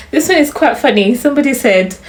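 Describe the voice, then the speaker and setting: A young woman from Uganda with a softly polished Ugandan accent, chatting casually to camera as a vlogger, laughing often and throwing in animated asides. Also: sits high, normal speed, roomy sound. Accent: Ugandan, slightly polished.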